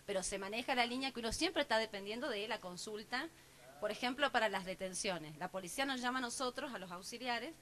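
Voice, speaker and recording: A woman's voice: medium pitch, a bit bright, a little hiss or hum. A woman, an assistant prosecutor, answering a panel in a formal job interview, explaining at length with many fillers.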